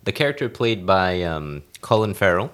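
A man's voice: deep voice, sing-song, normal speed, a bit bright. A man hosting a casual film podcast, talking enthusiastically and swearing casually. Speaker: podcast host.

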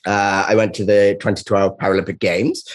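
A man's voice: fairly low pitch, level tone, brisk. A young man chatting casually as a comedian guest, with a dry, joking way of talking. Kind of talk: casual comic chat.

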